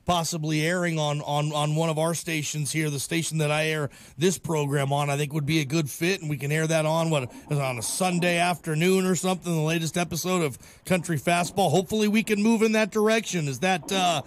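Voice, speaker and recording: A man's voice: on the high side, fairly even intonation, fairly quick, hardly any pauses. A man hosting a sports talk radio show, chatting casually in a chummy, informal way. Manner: chummy, informal, calls guest "man" and "brother". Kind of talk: casual live radio chat. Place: radio studio.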